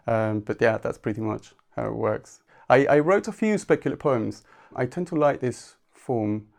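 A man from Argentina, a poet guest on a podcast, speaking softly and hesitantly with many restarts. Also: mid-range voice, lively intonation, normal speed, gravelly. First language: Spanish. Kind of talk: podcast interview.

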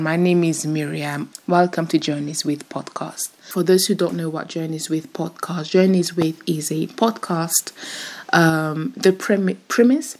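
Woman in her twenties, rough deep voice, rambling casual podcast talk, breathy tone. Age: 20s. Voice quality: deeper, rough voice. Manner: chatty, rambling, giggly. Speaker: podcast host. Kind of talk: casual solo podcast chat.

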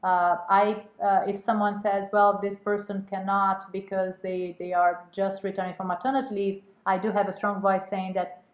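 A woman's voice: medium pitch, flat delivery, moderate pace, hardly any pauses. Woman guest, stops and restarts a lot, echoey room. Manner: hesitant, many fillers and restarts. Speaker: guest, women's network co-chair. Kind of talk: casual interview talk.

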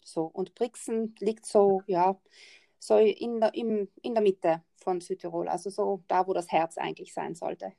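A woman's voice: mid-range voice, steady tone, unhurried, vocal fry, dark tone, no room sound. Chatty South Tyrolean woman, laughing often. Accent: South Tyrolean German. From South Tyrol, northern Italy. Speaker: guest author. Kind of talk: casual interview chat.

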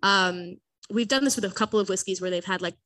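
A woman from Massachusetts hosting a casual whisky tasting, chatty and excitable with lots of fillers and restarts. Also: mid-range voice, fast talker. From Massachusetts, USA. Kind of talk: casual live tasting chat.

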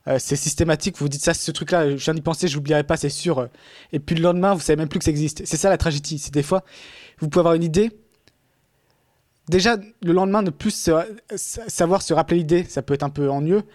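A man's voice: on the high side, fast, a little mellow, dry recording, studio quality. A man talking casually in a podcast conversation, rambling with many fillers and restarts.

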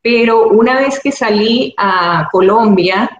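A woman's voice: fairly high pitch, takes it slowly, highs cut off. Venezuelan woman, earnest, in a very echoey room. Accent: Venezuelan Spanish. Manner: earnest, thoughtful, grateful tone. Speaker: actress and producer, interview guest. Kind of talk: reflective interview answers. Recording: very echoey room, faint background noise.

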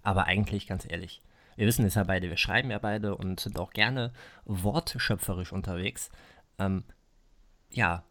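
Relaxed male podcast host. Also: sits low, moderate pace. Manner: relaxed, repeats "verstehe", clears throat. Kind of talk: casual interview.

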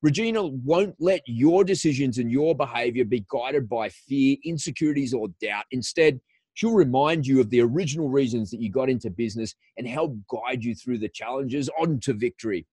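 A man's voice: mid-range voice, moderate pace, a little mellow, slightly rough, no room sound. A man hosting a podcast, giving an enthusiastic, promotional introduction and interviewing a guest. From Australia. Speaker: podcast host.

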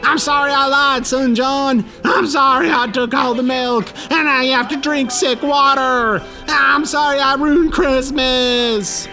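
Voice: high voice, fairly even intonation, slow pace, hardly any pauses, exaggerated voice. An actor playing a panicky, goofy, apologetic writer in a comedic audio drama, exclaiming melodramatically with folksy mild oaths. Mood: distressed, uneasy. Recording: loud music under the voice.

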